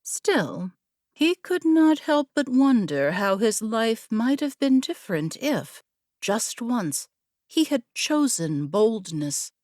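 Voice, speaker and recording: A woman narrating a scripted fantasy story in a measured, deliberate manner. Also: fairly high pitch, very animated, a little mellow, no echo, studio quality. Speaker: audiobook narrator.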